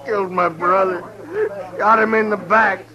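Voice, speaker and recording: An enraged, anguished American outlaw, high-pitched and gravelly, in a plaintive outburst. It is old western radio drama, muffled. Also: male voice, fairly even intonation, measured pace, crowd noise. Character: anguished, enraged male outlaw avenging his brother. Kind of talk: 1950s radio western acting.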